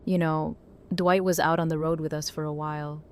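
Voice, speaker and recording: A woman's voice: deep voice, little pitch movement, normal speed, clean tone, close to an everyday voice. A woman playing a blunt, battle-worn apocalypse survivor in a naturalistic audio drama. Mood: candid.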